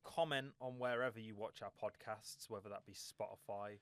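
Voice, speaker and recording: Young man, low voice, laid-back rambling chat, casual swearing, film podcast co-host. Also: normal speed, smooth, no echo. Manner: relaxed, rambling, laughs often, swears casually.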